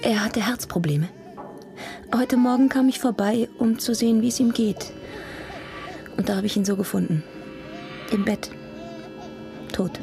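A woman's voice: a little high, measured pace, vocal fry, long pauses. A woman playing a dead man's guarded, defensive daughter in a radio crime drama, clipped and prickly. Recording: music behind the voice.

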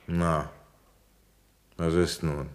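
A very deep-voiced police commissioner in a radio crime play. He is methodical and deliberate, both when questioning people and when recounting the case. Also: little pitch movement, clear, resonant tone, exaggerated voice. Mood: stern, probing. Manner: deliberate, probing. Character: methodical police commissioner investigating a village murder. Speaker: detective and narrator. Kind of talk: radio play acting and first-person narration.

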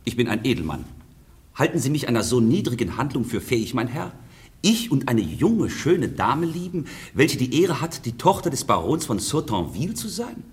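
An actor playing a suave courtier with exaggerated, false politeness in a classic radio comedy. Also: male voice, mid-range voice, big pitch swings, normal speed, lightly acted. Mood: affronted. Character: smooth, falsely polite courtier, a gallant gentleman seducer. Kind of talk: German radio play of classic stage comedy.

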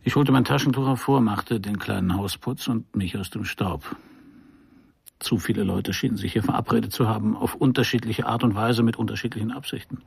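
An actor playing a wry private detective who narrates his case in a dry, deliberate, sardonic way for a radio crime play. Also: fairly low pitch, creaky, slightly rough, full character voice. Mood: dry, tense. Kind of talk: scripted first-person noir radio play narration.

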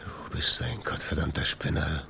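An actor in a German radio drama playing a bitter jazz club owner who questions bluntly and accusingly. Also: male voice, a bass voice, moderate pace, vocal fry, bright, forceful tone, a little hiss or hum, exaggerated voice. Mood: disgusted, angry. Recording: roomy space with long reverb.

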